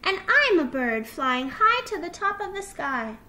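A woman voicing a curious, excitable young boy fox in a children's storybook, exclaiming with childlike wonder. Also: extremely high pitch, takes it slowly, a little hiss or hum, pitched above the performer's own voice, full character voice. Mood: gleeful, eager.

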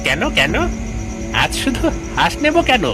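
Man doing a high-voiced dim-witted thief sidekick. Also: big pitch swings, measured pace, over music. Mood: greedy, puzzled. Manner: naive, questioning.

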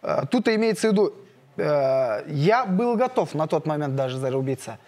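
Young man, quite high voice, halting speech with fillers, fighter at a studio press event. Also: animated, a little mellow. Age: young adult. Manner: hesitant, many filler sounds. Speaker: MMA fighter. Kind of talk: studio press conference chat. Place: dark studio set, seated in armchair.

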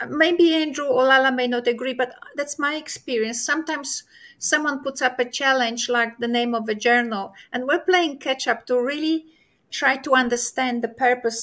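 A middle-aged woman hosting a discussion on a video call, speaking in a measured, reflective way. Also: sits high, a bit bright. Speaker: host.

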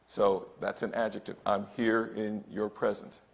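A man's voice: lowish voice, little pitch movement, unhurried, gravelly, muffled recording. A man in his sixties, a church elder, preaching emphatically from a pulpit and often calling out to brothers and sisters. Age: 60s. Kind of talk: sermon. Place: church sanctuary, indoors. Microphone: pulpit microphone, far camera.

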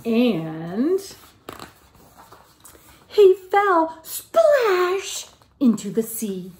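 A middle-aged woman reading a picture book aloud to young children in an expressive teacherly way. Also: high voice, drawn-out words. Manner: expressive, questioning, child-directed delivery. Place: living room at home, indoors.

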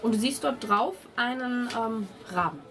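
A woman's voice: a little high, normal speed, far from the mic. A woman running a tabletop game as game master, improvising her narration in a conversational way. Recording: game table with music playing behind.

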